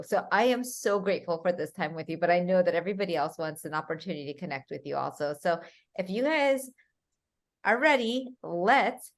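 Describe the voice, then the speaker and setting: A woman hosting a video call, gushing and effusive as she opens questions to the group. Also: mid-range voice. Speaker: host.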